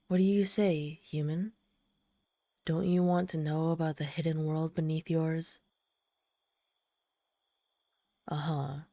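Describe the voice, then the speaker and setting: A woman's voice: low-pitched, mellow, dry recording, exaggerated voice. A woman playing a proud, lonely, desperate and socially awkward demon in a dramatic audio roleplay, haughty yet pleading. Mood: coaxing, exasperated. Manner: haughty yet pleading, theatrical sighs and gasps. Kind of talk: dramatic ASMR roleplay storytelling.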